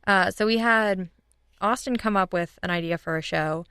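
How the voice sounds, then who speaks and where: A woman's voice: medium pitch, moderate pace, a bit bright, smooth, no room sound. A woman chatting casually and enthusiastically as an interview guest, informal and upbeat. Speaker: guest, audio drama writers' room leader.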